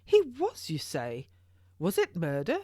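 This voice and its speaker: A woman's voice: fairly low pitch, wildly swooping pitch, measured pace, mellow, breathy edge, dry recording, clean studio sound, exaggerated voice. A woman voicing a theatrical, pompous king of England who speaks in grand, exclamatory, archaic courtly phrases in an audiobook. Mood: astonished.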